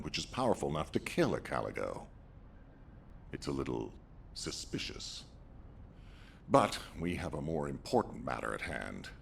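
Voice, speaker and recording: An actor playing a grave, resolute military commander in a video game, with a gravelly, deliberate, authoritative delivery. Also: male voice, low-pitched, a little hiss or hum, exaggerated voice. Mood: stern, wary. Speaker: military commander giving mission briefings.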